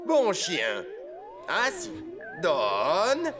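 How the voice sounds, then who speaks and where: A man's voice: a little high, wildly swooping pitch, extremely slow, over music, heavily acted. A man playing a scheming, domineering cartoon villain with a commanding, theatrical delivery. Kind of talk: animated cartoon dubbing in French.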